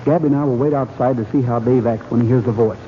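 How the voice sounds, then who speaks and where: An actor playing a confident, decisive young cowboy hero with a Western American accent in an old-time radio drama. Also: male voice, medium pitch, steady tone, fast talker, lightly acted. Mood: resolute. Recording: tinny, hissy old radio recording.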